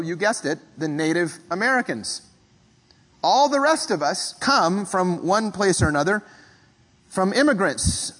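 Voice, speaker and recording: A man from the Philippines preaching a sermon in a measured, reflective way, often clearing his throat. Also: on the high side, a bit bright, long reverb tail, low-quality recording. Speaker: pastor.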